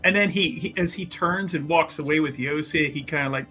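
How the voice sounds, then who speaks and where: A man casually improvising narration of his character's actions in a tabletop roleplaying game, halting and amused. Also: fairly high pitch, pushed and bright, soft background music.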